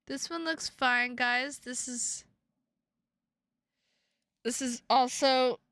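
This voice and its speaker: A woman live streaming games, chatting casually with viewers in an excitable, sweary way and repeating her phrases for emphasis. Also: high-pitched, extremely slow, clean tone, dry recording, studio quality. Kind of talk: casual livestream chat with viewers.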